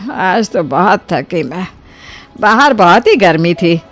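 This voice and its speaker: Casting: actress as kindly older mother-in-law for moral-story cartoon, very lively intonation, motherly and colloquial, music underneath. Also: lowish voice, takes it slowly. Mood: chatty, tired. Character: kind, generous older mother-in-law of the household. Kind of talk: acted Hindi moral story dialogue.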